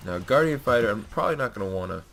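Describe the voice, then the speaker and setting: A young man with a low, deep voice that sounds stuffy and nasal from a cold. He rambles through casual commentary on his own gameplay, filling the gaps with "uh" and "you know." Faint keyboard tapping sits behind him at the desk. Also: expressive, rapid-fire, creaky, fairly bright tone, a little hiss or hum. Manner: rambling, frequent fillers and self-corrections. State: has a cold.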